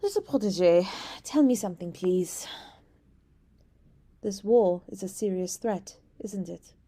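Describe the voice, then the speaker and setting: A woman's voice: mid-range voice, animated, a little slow, warm tone, breathy edge. A woman voicing a playful young princess who teases and scatters French words into her speech, in an ASMR roleplay. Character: teasing young princess, playful, anxious beneath.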